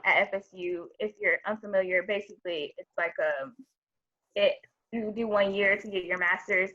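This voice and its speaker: A woman medical student on a video-call panel, explaining her own path in a relaxed way with many fillers. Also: lowish voice. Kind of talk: casual account on a video call. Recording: dull lo-fi video call audio.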